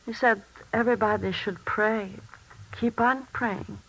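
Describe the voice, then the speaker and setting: A woman's voice: a little high, steady tone, measured pace, a bit bright. An actress playing a devout, plain-spoken woman in a 1940s radio drama. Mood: earnest. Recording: faintly noisy old radio recording.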